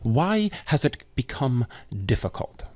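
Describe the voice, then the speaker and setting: An actor playing Death as a wry, weary grim reaper, complaining conversationally in a comedic audio drama. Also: male voice, mid-range voice, big pitch swings, a little slow, darkish tone. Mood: puzzled, probing.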